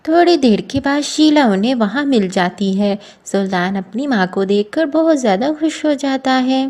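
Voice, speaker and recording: A woman narrates a scripted moral story as its storyteller. Her voice is very high in pitch. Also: animated, normal speed, a little mellow.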